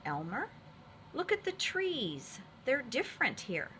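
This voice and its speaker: Naive herd elephant for a picture book, voiced by a woman, animated, bright, full of exclamation. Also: high-pitched, slow pace, a little hiss or hum, pitched above the performer's own voice, full character voice. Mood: unsure. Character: herd elephants, unsure, easily impressed, childlike followers. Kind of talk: children's storybook read-aloud.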